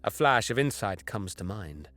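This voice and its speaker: A man playing a cruel, haughty alien raider warrior who narrates his hunt in a deliberate, menacing, disdainful way. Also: lowish voice, big pitch swings, fairly bright tone. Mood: predatory, intent. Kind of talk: scripted dramatic first-person narration. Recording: music behind the voice.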